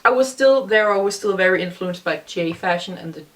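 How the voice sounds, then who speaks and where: Deep-voiced young woman chatting casually. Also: fairly quick, recorded from a distance, a little hiss or hum. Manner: relaxed, rambling, many fillers. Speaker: vlogger reacting to her old video. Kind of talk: casual reaction chat.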